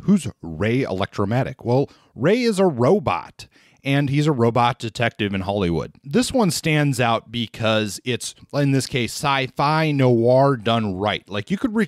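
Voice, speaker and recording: Relaxed man reviewing books, dry sound. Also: medium pitch, dry recording. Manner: relaxed, hesitant with many fillers. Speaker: podcast host reviewing books. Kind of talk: casual book review talk.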